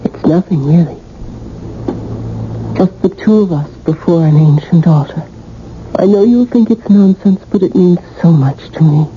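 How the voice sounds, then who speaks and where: An actress playing a coaxing, secretive young bride in an old-time radio drama, soft and breathy in delivery. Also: female voice, lowish voice, normal speed, long pauses, lightly acted. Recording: muffled, noisy vintage radio broadcast.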